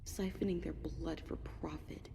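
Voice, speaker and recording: A woman playing a traumatized young adult pony survivor in a horror audio story, narrating gravely with breathy, drawn-out phrasing. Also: low voice, fairly even intonation, noisy background, full character voice. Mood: grave, ominous. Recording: long reverb, loud background ambience.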